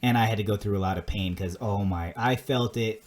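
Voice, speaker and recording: A man's voice: fairly low pitch, a little fast. A man with a Minnesota accent co-hosting a podcast, chatting casually and telling stories with many restarts. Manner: relaxed, rambling storyteller with many restarts. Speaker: podcast co-host. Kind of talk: casual chat.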